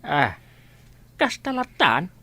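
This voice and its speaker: A man's voice: on the high side, wildly swooping pitch, slow, bright, forceful tone, husky, heavily acted. A man playing an easygoing, inquisitive older village uncle in a radio comedy-drama, with a folksy, hesitant manner.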